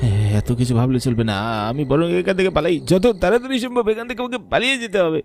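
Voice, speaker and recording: A man's voice: high voice, animated, fast, gravelly, very noisy. A man playing an anxious, chatty village husband with a fussy, questioning manner in a horror cartoon. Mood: uneasy, puzzled.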